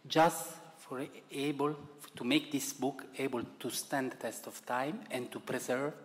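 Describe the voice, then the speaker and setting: A middle-aged man with an Italian accent, a publisher giving formal remarks at an event in a halting, measured way with broken grammar. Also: mid-range voice, fairly even intonation. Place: event room. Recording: long reverb, faint room ambience.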